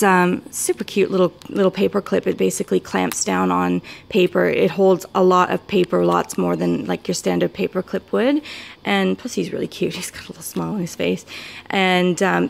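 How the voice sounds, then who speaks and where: An American woman casually talking through a show-and-tell, rambling a little hesitantly, with gentle enthusiasm for small details. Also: fairly low pitch, gravelly. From United States. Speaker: vlogger showing a planner setup. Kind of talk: casual product walk-through.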